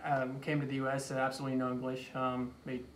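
Halting young man, echoey office recording. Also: mid-range voice, completely flat delivery. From Iraq. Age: late 20s. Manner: halting, repeats words and phrases. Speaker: chiropractor being introduced. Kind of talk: personal story in an informal interview. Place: small office room indoors. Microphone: phone camera a few feet away. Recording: echoey room, distant mic, faint noise.

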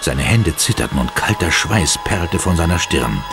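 A man's voice: deep voice, animated, moderate pace. A man giving dramatic scripted narration for a German horror audio drama. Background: music.

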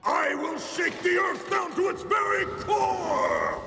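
A man's voice: very high voice, slow, shouting, exaggerated voice. A man voicing a grandiose evil demon lord in a cartoon, menacing and theatrical. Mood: raging, grandiose. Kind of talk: cartoon villain acting. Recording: cavernous long reverb, music behind.

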